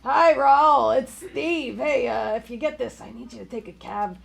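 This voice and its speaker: Creaky-voiced, anxious middle-aged guy played by a woman. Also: fairly high pitch, normal speed. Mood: panicked. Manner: stammering, earnest. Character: anxious, pleading middle-aged male New York bar owner. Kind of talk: live comedy table read. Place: comedy theater stage. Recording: live theater with laughing audience.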